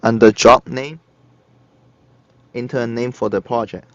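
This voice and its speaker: A man narrating a step-by-step software tutorial in short, halting instructional phrases. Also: mid-range voice, flat delivery. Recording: dull lo-fi computer recording. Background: screen-recorded tutorial voice-over.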